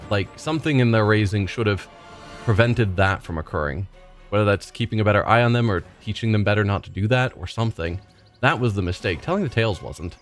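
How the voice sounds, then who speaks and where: Let's play host, man, low voice, thinks aloud with hesitant, casual commentary; faint game music. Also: lively intonation, fairly quick.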